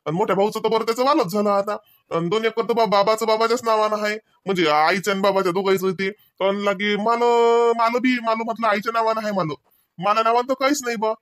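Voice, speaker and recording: A man's voice: high-pitched, fairly even intonation, quick pace, a bit bright, dry recording, clean studio sound. A man voicing an evasive village brother in a comedy cartoon, placating and repeating himself in a rural Vidarbha accent. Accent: rural Maharashtra, Vidarbha. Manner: placating, chuckling, repetitive.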